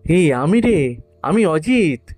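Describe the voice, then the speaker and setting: A man voicing a concerned friend at a sickbed in an audio story, speaking in a gentle, earnest, coaxing way. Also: sits high, sing-song, takes it slowly, voice pushed up, full character voice. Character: Ajit, concerned male friend visiting a dying man's bedside. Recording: music behind the voice.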